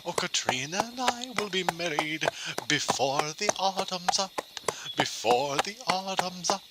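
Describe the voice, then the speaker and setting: A man's voice: high voice, expressive, a little slow, heavy background noise. A man playing a nervous, boastful, lovesick schoolmaster with a fussy, hesitant manner in an old-time radio drama style. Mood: gleeful singing. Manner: stammering, fussy, genteel, hesitant. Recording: music underneath.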